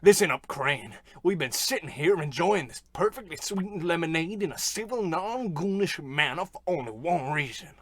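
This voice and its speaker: A man as a high, swooping bossy goon. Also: animated, moderate pace, hardly any pauses. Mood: bossy, threatening. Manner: blunt, threatening wiseguy talk. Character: impatient, bossy goon, a tough guy. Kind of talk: old-radio comedy acting.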